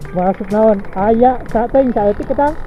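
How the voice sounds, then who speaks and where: A man's voice: high voice, a little fast, highs cut off, over music. A young man with a Sundanese accent chatting in joking, crude slang as he vlogs over a helmet intercom on a motorbike. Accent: Sundanese-accented Indonesian. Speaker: motovlogger. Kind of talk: casual banter while riding. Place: outdoors on a moving motorbike.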